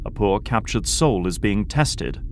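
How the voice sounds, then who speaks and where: Narrator for an audio drama: man with a lowish voice, reading scene descriptions over audible noise. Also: lively intonation, a little slow.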